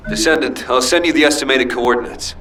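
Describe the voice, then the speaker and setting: A man's voice: medium pitch, fairly quick, fairly bright tone, a little hiss or hum, natural voice, rough recording. A man playing a determined, battle-hardened soldier in a video game, speaking in a terse, resolute, commanding way. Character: determined, battle-hardened male soldier leading a covert mission.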